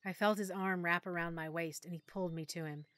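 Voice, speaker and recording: A woman narrating a full-cast audiobook in first person, with expressive, clear storytelling. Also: fairly low pitch, a little fast, no echo. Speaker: narrator and lead character.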